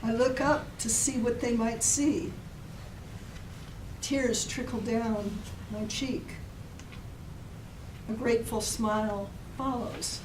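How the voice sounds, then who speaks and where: A woman poet reading her own poem to an audience, measured, with pauses between the lines. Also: a little high, steady tone. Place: public library reading room. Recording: echoey room, distant mic, noisy ambience.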